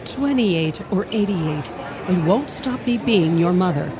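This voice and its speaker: A woman's voice: lowish voice, lively intonation, measured pace, a crowd in the background. An actress playing an anxious, protective middle-aged mother in an audio drama, speaking in a fretful, tender way. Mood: worried, tender.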